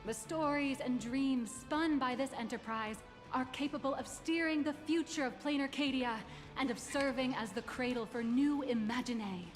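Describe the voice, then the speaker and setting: A woman's voice: sits high, normal speed, soft background music, lightly acted. A woman voicing a polished corporate tour guide in a video game, formal and guarded. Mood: composed. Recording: game audio with long reverb.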